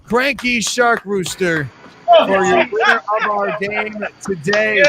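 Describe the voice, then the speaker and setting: A man's voice: high voice, animated, slow pace, very noisy. A man hosting a live trivia game, joking with players and rambling through sponsor reads.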